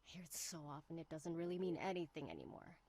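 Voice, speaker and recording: A woman's voice: low voice, sing-song, fast talker, vocal fry, warm tone, full character voice. A woman playing a bitter, sarcastic, guarded young woman in a visual novel game.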